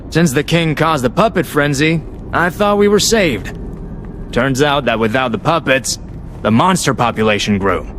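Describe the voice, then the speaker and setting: Weary, wry lone merchant. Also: male voice, fairly high pitch, a bit bright, a little hiss or hum. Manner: wry, gloomy, hesitant. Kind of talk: conversational video game acting.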